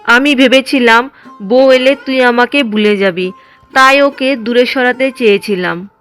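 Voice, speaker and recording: A woman's voice: on the high side, a little slow, fairly bright tone, smooth, soft background music. A woman playing a sly, jealous older village mother-in-law in a cartoon drama. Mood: pleading. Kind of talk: Bengali cartoon drama dialogue.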